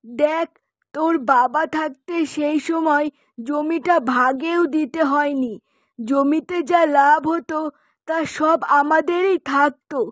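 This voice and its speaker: Woman acting a high-voiced nagging cartoon mother. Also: no echo. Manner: chiding, complaining. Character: nagging, worried widowed mother of a grown son. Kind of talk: Bengali moral-story cartoon dubbing.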